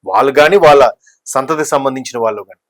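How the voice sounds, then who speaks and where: A man's voice: medium pitch, fast. A man giving conversational political commentary, talkative and explanatory, mixing in English words. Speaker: news discussion commentator.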